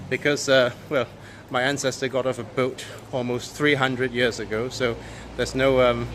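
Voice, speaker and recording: Penang guy doing an earnest opinion video, lots of uhs, mid pitch, aircon hum in the back. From Penang, Malaysia. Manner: earnest, hesitant with frequent fillers. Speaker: video host. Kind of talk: opinion monologue to camera.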